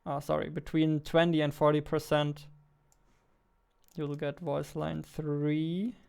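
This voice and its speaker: A man in his twenties talking casually as a game developer while coding, halting as he works at his desk. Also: fairly high pitch, level tone, vocal fry, a little mellow, smooth. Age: 20s. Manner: halting while typing, reads text aloud slowly. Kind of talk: casual narrated coding livestream or devlog. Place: indoors, at a computer desk. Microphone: studio mic on boom arm, close.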